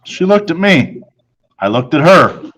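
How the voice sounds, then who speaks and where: A man's voice: on the high side, big pitch swings, unhurried, a bit bright. A man reading a children's novel aloud as its first-person narrator. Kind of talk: read-aloud story narration.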